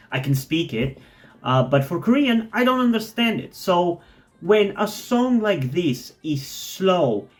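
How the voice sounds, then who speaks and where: A non-native English-speaking man giving a thoughtful, rambling reaction to a song, full of fillers and restarts. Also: on the high side. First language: not English. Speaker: music reactor. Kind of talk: casual music reaction commentary.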